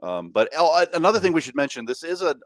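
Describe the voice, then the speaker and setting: A man's voice: fairly high pitch, sing-song, quick pace, no echo. A man co-hosting a casual podcast, rambling with lots of fillers and restarts. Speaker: podcast co-host.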